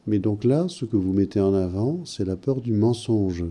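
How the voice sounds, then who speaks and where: A man hosting, asking calm probing questions and interpreting a caller's dream. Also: on the low side, moderate pace. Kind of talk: counselling talk.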